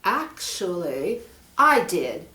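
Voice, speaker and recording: A woman voicing an earnest, trusting storybook girl, bold in her faith, with a bright, emphatic delivery. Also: on the low side, big pitch swings, drawn-out words, reverberant, recorded from a distance, exaggerated voice. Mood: firm, playful.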